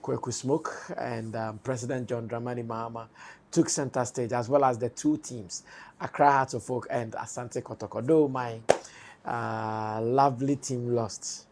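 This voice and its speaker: Hoarse Ghanaian talk show host. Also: male voice, medium pitch, steady tone, normal speed, a little mellow, slight background noise. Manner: steers the talk, laughs easily. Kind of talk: hosting a studio talk show.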